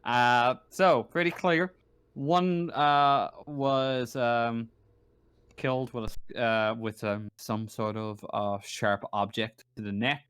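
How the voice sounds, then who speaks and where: Man, game master, mid-pitched, dry sound, casual improvised tabletop narration, halting, thinking aloud. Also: fairly even intonation, a bit bright, clear, resonant tone, no room sound.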